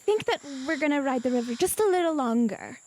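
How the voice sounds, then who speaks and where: A woman's voice: high-pitched, animated, fairly quick, a little mellow. A woman playing a tabletop game in character, speaking in a flustered, hesitant way. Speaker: player.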